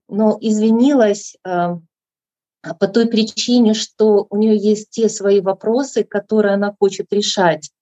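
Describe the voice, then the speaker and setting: A woman sharing her recovery story at a meeting over a video call, in a measured, reflective way. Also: mid-range voice, mellow, no echo. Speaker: meeting speaker. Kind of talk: personal recovery share. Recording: dull lo-fi video call audio.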